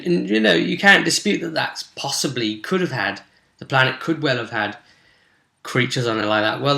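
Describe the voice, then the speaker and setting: A man casually rambling through a recap of a tabletop role-play session as its game master, jokey and animated, often interrupting himself. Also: mid-range voice. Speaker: game master and vidcast host. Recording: echoey, roomy sound.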